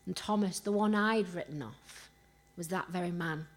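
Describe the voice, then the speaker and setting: A woman's voice: lowish voice, moderate pace. A woman from Rotherham with a Yorkshire accent preaches an earnest sermon with personal stories, rhetorical questions and emphatic repetition. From Rotherham, Yorkshire, England.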